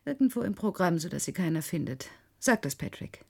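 Radio play lead: brittle, obsessed programmer, breathy and husky, halting, guarded delivery. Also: female voice, on the low side, very animated, heavily acted. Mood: defiant. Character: brittle, secretive, grieving woman programmer, obsessed and desperate.

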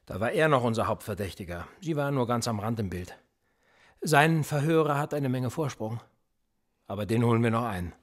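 A man's voice: medium pitch, a little fast, natural voice. An actor playing a cool, cynical male police interrogator in a radio drama, smug and needling. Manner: smug, needling, lively intonation.

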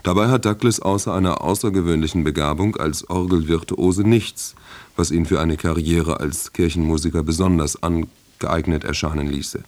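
A man reading scripted radio announcements in a measured, formal manner. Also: low-pitched, fairly even intonation, darkish tone. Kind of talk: scripted broadcast announcement, credits and preview.

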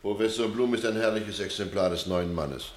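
A man's voice: on the low side, normal speed, lightly acted. An actor playing a benevolent, learned man who gives a solemn, earnest testimonial in a satirical radio play. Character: solemn male expert witness praising the accused, benevolent, learned. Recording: echoey hall with long reverb.

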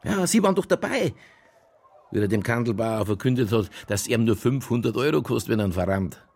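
An actor playing a dogged, sardonic police detective in a radio drama, with a Bavarian accent and a gravelly voice. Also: male voice, mid-range voice, animated, fairly quick, a little mellow. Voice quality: gravelly, husky. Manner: dry, needling, insistent. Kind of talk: naturalistic radio drama dialogue.

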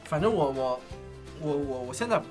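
A man's voice: fairly high pitch, lively intonation, moderate pace, over music. A man who works as a voice actor, talking casually and in a self-deprecating way among colleagues. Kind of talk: casual group chat.

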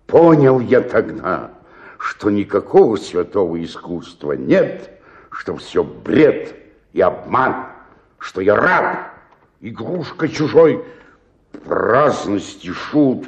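Despairing old stage player, wildly swinging pitch. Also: male voice, a little mellow, highs cut off. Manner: declamatory, swinging between outburst and murmur. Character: despairing old male provincial actor, self-pitying, theatrical, nostalgic. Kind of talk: radio play dramatic monologue.

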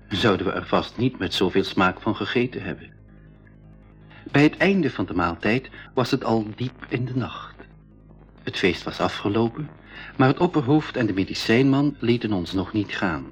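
A jungle explorer recounts his adventure as narrator of an eighties radio play. His measured voice is fairly low and husky, with soft music behind it. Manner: measured, storytelling. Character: male expedition member recounting a jungle adventure. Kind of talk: scripted story narration in radio drama.

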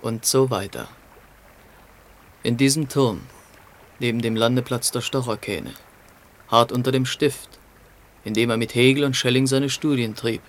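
A man's voice: mid-range voice, a little slow, long pauses, close to an everyday voice. An actor in a German radio play playing an educated, courteous literary man with polished phrasing. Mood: lyrical.

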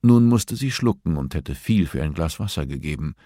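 A man's voice: extremely low pitch, animated, normal speed, warm tone, no room sound, clean studio sound. A man reading a novel aloud as scripted audiobook narration.

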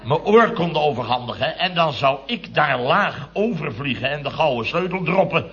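An actor playing a blustering, sarcastic boss of a building firm in a radio comedy. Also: male voice, on the high side, animated, normal speed, a bit bright, a little hiss or hum. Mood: aggrieved. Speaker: company boss. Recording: muffled old radio recording.

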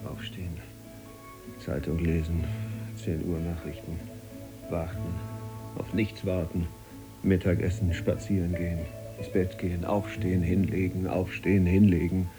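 Bitter husband, music behind. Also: male voice, low-pitched, flat delivery, unhurried. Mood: weary, monotonous. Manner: sardonic, brooding. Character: frustrated, bitterly sarcastic husband and dreaming archivist. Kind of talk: German radio play acting.

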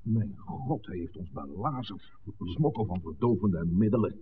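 Blunt smuggler, tinny old radio. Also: male voice, low voice, animated, measured pace, husky, exaggerated voice. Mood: bitter. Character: blunt, indignant village man caught up in smuggling. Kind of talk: 1960s Dutch radio play acting.

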